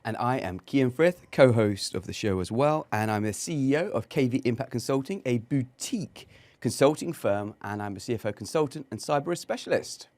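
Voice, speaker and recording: A man introduces himself as co-host in a measured, matter-of-fact way. His pitch is mid-range. The studio sound is dry and close. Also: lively intonation, a little mellow, no echo. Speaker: podcast co-host, finance consultant. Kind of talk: self-introduction. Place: podcast studio.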